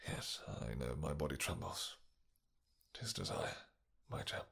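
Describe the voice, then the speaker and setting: Man playing a deep, gravelly, lovestruck vampire king. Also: a bit bright, full character voice. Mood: restrained desire. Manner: formal archaic courtly diction, halting breathy pauses. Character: possessive, lovestruck vampire king, courtly and intense. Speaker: voice actor in romantic audio roleplay. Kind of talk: intimate romantic fantasy roleplay acting.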